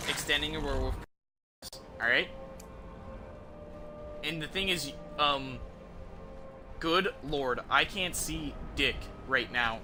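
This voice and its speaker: Young American male streamer, slightly high voice, rambling sweary gameplay chat with music clearly underneath. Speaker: game streamer. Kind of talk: casual gameplay commentary. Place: indoors, streaming over game audio. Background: game music.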